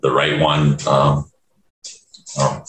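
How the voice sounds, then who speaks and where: A man with an extremely deep voice gives his opinions in a casual focus group. He talks in halting bursts, padded with fillers and restarts. His voice comes over a video call from a noticeably echoey room. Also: completely flat delivery, a bit bright.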